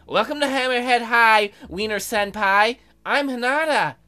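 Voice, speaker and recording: A woman doing a high, perky shark schoolgirl. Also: unhurried, pushed and bright, soft background music. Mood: eager. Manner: perky, earnest, chirpy. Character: cheerful, eager shark schoolgirl guide.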